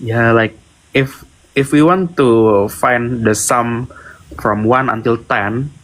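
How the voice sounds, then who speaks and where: A man's voice: mid-range voice, slow, a bit bright, rough recording. A young man with an Indonesian accent, chatting on a livestream in English with playful hesitations and fillers. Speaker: livestream co-host. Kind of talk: casual livestream chat.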